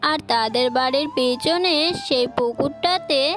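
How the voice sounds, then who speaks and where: A woman reads a children's story as narrator. Her voice is high and her pace slow, with music playing clearly behind her. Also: fairly bright tone.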